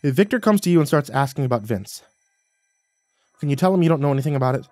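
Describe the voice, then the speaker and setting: Man acting an awkward, pleading young man, high-strung. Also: lively intonation, fast, a little mellow, no echo, lightly acted. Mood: exasperated pleading. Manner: stammering, croaky, whiny desperation. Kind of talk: audiobook character voice.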